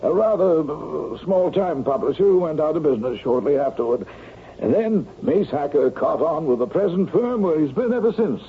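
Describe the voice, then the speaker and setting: A pedantic older gentleman in a radio play. His voice is highish and very animated, fussy and knowing. The broadcast sounds thin and band-limited. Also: moderate pace, narrow, tinny audio, slight background noise. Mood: knowing, insistent. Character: older, pedantic, insistent male mystery book buff. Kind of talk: radio drama acting.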